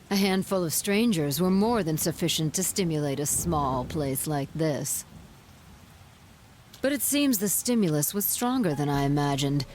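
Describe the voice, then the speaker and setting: A woman voicing a haughty, scornful goddess villain in a video game cutscene, speaking in a measured, condescending and theatrical way. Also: low voice, darkish tone, slight background noise. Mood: calm, superior. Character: haughty, scornful goddess, coolly amused villain behind it all.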